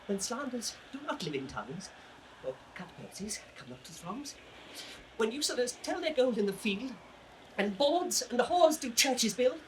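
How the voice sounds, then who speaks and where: An actor playing a sly, mocking court fool, chanting riddles in a sing-song classical stage manner. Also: male voice, extremely high pitch, pushed and bright, heavily acted. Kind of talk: classical Shakespearean audio drama acting. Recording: noisy vintage recording, distant from mic.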